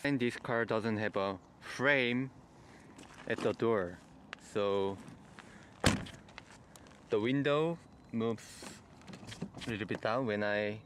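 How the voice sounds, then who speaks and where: A man with a Korean accent, whose first language is Korean, gives a car review walkthrough in plain, halting phrases. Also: lowish voice, noisy background. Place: in and around a car.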